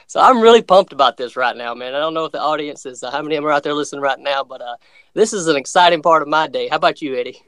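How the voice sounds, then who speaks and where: A man's voice: a little high, expressive, rapid-fire, a bit bright, clear, resonant tone, no echo. A man from Alabama hosting a podcast interview, enthusiastic and casual. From around Mobile, Alabama. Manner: enthusiastic, says "man" a lot. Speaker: podcast host.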